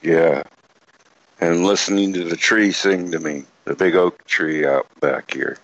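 A man with a very low voice chats over a remote call, relaxed and easy in his storytelling. The call audio is dull and lo-fi, missing its top end. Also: unhurried, no room sound. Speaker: guest caller. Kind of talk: casual chat.

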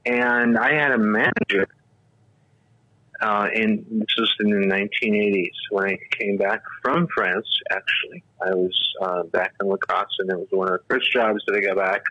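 A man's voice: fairly low pitch, fairly even intonation, normal speed, sharp tone, dry recording. A man in his sixties, a radio talk show host, telling stories in a rambling, casual way full of fillers and restarts. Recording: dull, lo-fi radio audio.

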